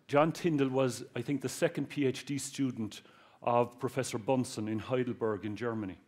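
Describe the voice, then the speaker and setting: A man's voice: mid-range voice, darkish tone. A man with a Cork Irish accent giving a public talk to students, joking and addressing the audience directly. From Ireland. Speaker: guest speaker, research engineer.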